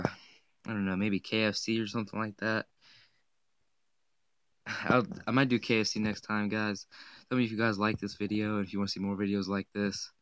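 A man's voice: lowish voice, fairly bright tone, clean tone, no echo. A young man chatting casually in a hesitant, meandering way, as a prank-call vlogger talking into a phone. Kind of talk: casual chat. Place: small indoor room with panelled ceiling. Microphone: phone at arm's length. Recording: noisy amateur phone recording with clicks.

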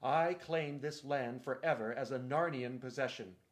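A proud young king claims his prize and demands obedience, played by a man reading the book. He speaks in a regal, declaiming way, louder than the narration. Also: mid-range voice, sing-song, fairly quick, lightly acted. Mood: imperious. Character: proud young king, grand and hot-tempered.

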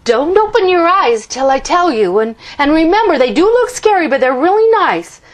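An excitable ten-year-old boy in a storybook, his voice pushed high and a little breathy, as a woman reads him. He stammers and pleads with earnest, kind enthusiasm. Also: moderate pace. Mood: pleading.

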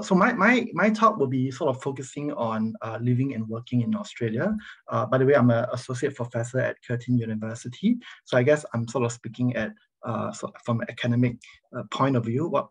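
A middle-aged Singaporean-accented man presents slides to an online audience as a guest academic. His voice has a creaky, slightly raspy grain. He speaks haltingly, often restarting his phrases. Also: medium pitch, poor audio. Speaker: university professor, guest speaker. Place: online video meeting, speaking over slides. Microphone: video call microphone.

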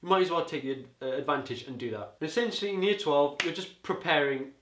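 An eighteen-year-old man giving rambling, casual advice to camera from a living-room sofa, his voice going a bit hoarse. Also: fairly high pitch, fast talker, fairly bright tone, echoey room, a little hiss or hum. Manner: rambling, chatty, peppered with "you know". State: voice getting hoarse. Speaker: student vlogger. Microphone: camera on a tripod across the room.

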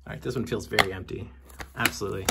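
A man's voice: lowish voice, steady tone, racing, creaky, heavy background noise. A young man casually talking through the games he brought home, wry and jokey as he goes. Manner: chatty, wry, jokey asides. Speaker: video game collector vlogger. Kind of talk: casual unboxing commentary. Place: indoors at home.

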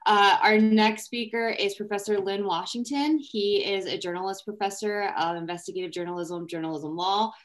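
A woman in her thirties hosts an online event on a video call. Her voice sits at a middle pitch. She moderates informally and guides the speakers. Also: moderate pace, hardly any pauses. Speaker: event host. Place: room at home, indoors, on a video call. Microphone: computer mic on video call.

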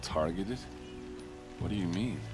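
A man voicing a gruff, grieving, tipsy warrior captain in a video game. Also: sits low, a little slow, over music. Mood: confused. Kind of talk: video game cutscene acting.

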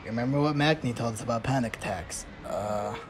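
A woman doing a low, calm teenage boy. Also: fairly quick, slight background noise. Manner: flat, level, reassuring. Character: calm, dry teenage boy, steady boyfriend. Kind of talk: fan comic dub.

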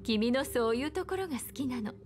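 A woman voicing a calm, enigmatic, seductive woman in an anime game, speaking slowly and soothingly with gentle command. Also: high voice. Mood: gentle, foretelling. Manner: slow, deliberate, soothing. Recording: background music.

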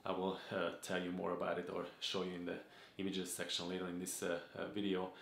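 A man speaks in a low, deep voice. He talks earnestly and at a measured pace, with many small "uh" pauses. The sound is roomy, with some echo from the home office. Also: completely flat delivery, vocal fry, fairly bright tone, a little hiss or hum. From Hungary. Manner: earnest, measured, with frequent "uh" fillers. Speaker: photography vlogger. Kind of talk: talking-head channel introduction. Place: home office room with desk and gaming chair.

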